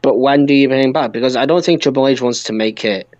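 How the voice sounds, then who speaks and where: A man's voice: mid-range voice, quick pace, darkish tone, no room sound, dull audio. A man co-hosting a podcast, talking casually and informally about wrestling with other hosts. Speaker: podcast co-host.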